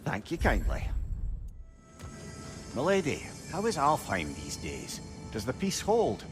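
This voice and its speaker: Lively, wordy old Scottish sage. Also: male voice, mid-range voice, slow. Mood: chatty, courtly. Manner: loquacious, courtly, old-fashioned phrasing. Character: witty, wordy, tender old sage, male. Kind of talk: video game cinematic acting. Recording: game music behind the voice.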